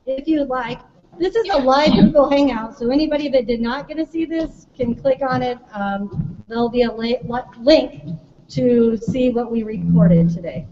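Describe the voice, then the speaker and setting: A woman's voice: on the high side, moderate pace. A woman speaking casually as a teacher hosting a question session at a school event. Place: room with an audience of children and parents. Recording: muffled audio with loud room noise.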